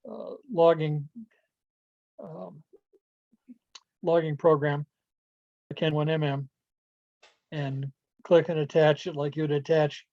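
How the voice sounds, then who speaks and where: Mellow-voiced man presenting over muffled call. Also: fairly high pitch, no echo. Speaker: club presenter. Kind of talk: informal online presentation to club members. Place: video call. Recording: muffled lo-fi video call audio.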